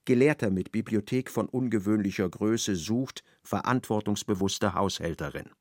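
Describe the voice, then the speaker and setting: A man narrating a radio play in a measured, ironic storytelling manner. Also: on the low side, darkish tone, no echo, studio quality.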